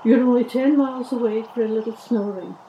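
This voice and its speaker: Brusque, kindly engine lady from a storybook, played by a woman; higher voice, dark and mellow, slight background noise. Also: fairly quick, dull audio, natural voice. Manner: brusque, bossy but kindly. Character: grand brisk female Scottish express train. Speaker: radio drama voice actor.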